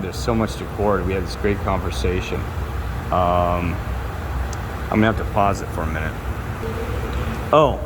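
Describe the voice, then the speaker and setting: A man's voice: fairly low pitch, background noise. A man rambling in a spiritual monologue, repeating himself and restarting his sentences. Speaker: vlogger.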